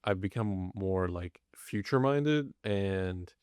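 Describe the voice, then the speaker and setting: A man's voice: low voice, animated, takes it slowly, darkish tone, smooth, dry recording, clean studio sound. A man in his late twenties co-hosting a podcast, chatting casually and hesitantly searching for his words. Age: 20s. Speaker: podcast co-host. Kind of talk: casual chat.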